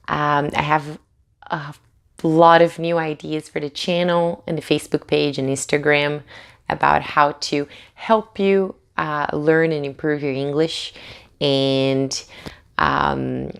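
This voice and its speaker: Low, gravelly woman. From Brazil. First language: Portuguese. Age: late 20s. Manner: chatty, with many fillers and restarts. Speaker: English teacher, channel host. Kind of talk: casual talk to camera.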